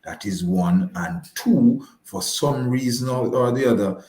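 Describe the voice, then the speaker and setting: A man's voice: medium pitch, very animated, darkish tone, roomy sound. A man speaking as a guest financial advisor in a conversational interview, often repeating words as he forms his points. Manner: halting, repeats words while forming points.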